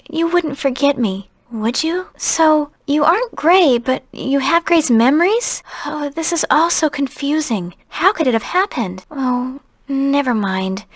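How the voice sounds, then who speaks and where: A woman voicing a gentle, wistful young woman in a video game, earnest and hesitant in her delivery. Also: high-pitched, no echo, studio quality. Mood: confused, wistful.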